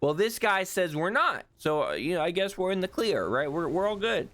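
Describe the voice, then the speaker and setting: A man's voice: high-pitched, animated, fairly quick, a bit bright, slight background noise. Joking young man narrating gameplay. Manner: offhand, joking, swears. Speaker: gaming YouTuber. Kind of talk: casual game commentary.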